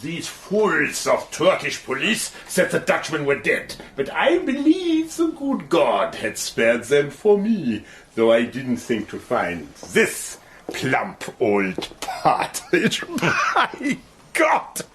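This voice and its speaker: An actor playing a cruel, mocking German army colonel who gloats with slow, theatrical menace. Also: male voice, fairly high pitch, huge pitch swings, fairly bright tone, slight background noise, exaggerated voice. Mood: menacing. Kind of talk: radio play acting.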